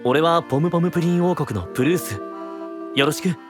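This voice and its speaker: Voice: medium pitch, brisk, music in the background, natural voice. An actor playing a kind, caring young male knight with a considerate, friendly manner in an anime-style voice drama. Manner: considerate, friendly, polite-casual.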